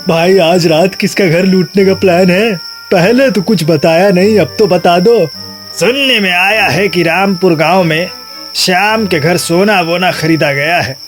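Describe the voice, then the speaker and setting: A man's voice: high voice, a little slow, soft background music. A man voicing a sly, greedy village thief with a conspiratorial manner in a cartoon tale. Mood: plotting. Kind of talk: animated moral bedtime story.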